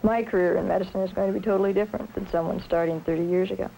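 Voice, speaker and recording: A woman surgeon speaking in a measured, articulate way in a reflective documentary interview. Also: medium pitch, steady tone, vocal fry, dark tone, rough recording. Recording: 1970s film sound with faint noise.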